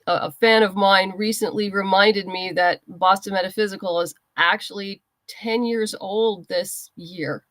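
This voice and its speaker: A woman's voice: mid-range voice, fairly even intonation, a little slow, hardly any pauses, fairly bright tone, dry recording, poor audio. A woman being interviewed as a writer, giving explanatory, conversational answers.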